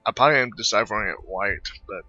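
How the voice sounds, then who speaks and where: A man doing casual gameplay commentary, halting and rambling, tripping over words as he thinks aloud and reads text. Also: lowish voice, pushed and bright, dry recording, lo-fi sound, soft background music. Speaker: gamer recording a playthrough.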